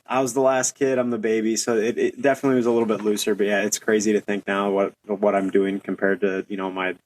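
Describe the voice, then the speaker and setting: A man's voice: fairly low pitch, flat delivery, fast talker, poor audio. A young man speaking as a podcast guest, casually telling personal stories. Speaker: podcast guest, professional cornhole player.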